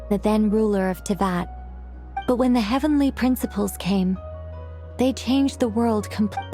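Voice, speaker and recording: An actress voicing a learned, composed young woman recounting ancient lore in a video game, measured and scholarly. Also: mid-range voice, mellow, lightly acted. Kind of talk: scripted game dialogue.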